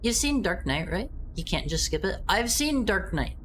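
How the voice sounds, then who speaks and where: Chatty woman streamer, lively voice. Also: medium pitch, moderate pace, slight background noise. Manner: chatty, rambling, barking short commands. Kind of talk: casual live-stream game commentary.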